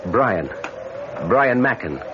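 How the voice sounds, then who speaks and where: An actor playing an earnest, bewildered American traveler in a radio drama, with dramatic first-person narration. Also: male voice, mid-range voice, sing-song, slow. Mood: surprised. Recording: muffled, noisy old radio recording.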